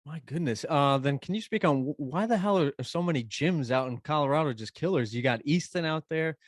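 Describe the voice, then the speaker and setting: A man hosting an interview podcast, joking and bantering in an animated, slangy way. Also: fairly high pitch, a little fast, no echo, clean studio sound. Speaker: podcast host. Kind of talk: casual interview chat.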